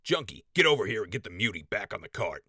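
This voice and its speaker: A man playing a gruff, bossy raider in an audio drama, barking orders and swearing. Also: lowish voice, very animated, fast, pushed and bright, no echo, studio quality, exaggerated voice. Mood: harsh, impatient. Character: gruff, bossy male raider crew leader. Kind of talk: post-apocalyptic audio drama acting.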